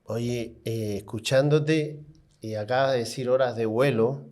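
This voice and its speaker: A man's voice: mid-range voice, steady tone, measured pace, a little mellow. A man of about fifty from Cuba, telling his sales career with jokes in a casual podcast chat. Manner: joking, self-mocking storyteller. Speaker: podcast co-host.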